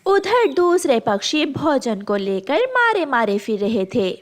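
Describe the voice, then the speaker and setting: A woman's voice: high-pitched, sing-song, takes it slowly. A woman reading scripted narration for a children's story.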